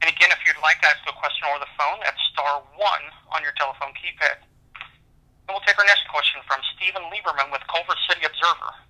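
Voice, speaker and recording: A man's voice: fairly high pitch, steady tone, brisk, sharp tone, poor audio. A man working as a conference call operator, making scripted announcements.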